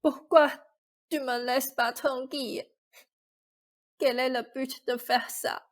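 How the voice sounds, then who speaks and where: Woman as a slow, high-voiced grieving young Cinderella. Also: fairly even intonation. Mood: reluctant. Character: grieving, stubborn young Cinderella clinging to mother's memory. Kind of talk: French radio play acting.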